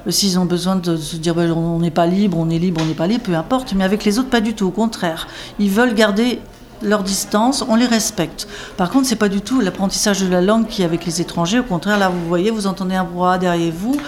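A woman's voice: mid-range voice, brisk, a little hiss or hum. A woman coordinating literacy classes, answering interview questions in a rambling way, full of fillers, restarts and tag words. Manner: rambling, many fillers and restarts, emphatic "hein".

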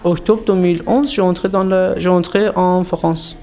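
A man from Iran with an Iranian accent, a refugee learning French, answering interview questions in halting, broken phrases. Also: high-pitched, steady tone, darkish tone. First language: Persian. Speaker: refugee language student.

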